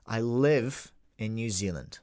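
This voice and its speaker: A man's voice: lowish voice, expressive, takes it slowly, dark tone, dry recording, studio quality. A Scottish man in his forties hosting a casual livestream podcast, rambling and chatting with viewers by name. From Scotland. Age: 40s. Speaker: podcast host.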